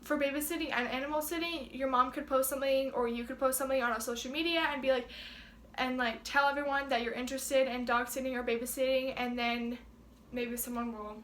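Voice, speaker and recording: A woman's voice: sits high, steady tone, fairly quick, fairly bright tone, slight background noise. A teenage girl chatting casually as co-host of a video, full of filler "likes". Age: teens. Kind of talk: casual chat. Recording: echoey room.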